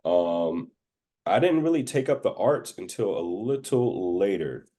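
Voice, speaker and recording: A man's voice: deep voice, big pitch swings, unhurried, warm tone. A man from the rural southern United States, talking informally as an interview guest and telling stories in a casual, conversational way. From southern United States, rural Georgia and Florida Panhandle.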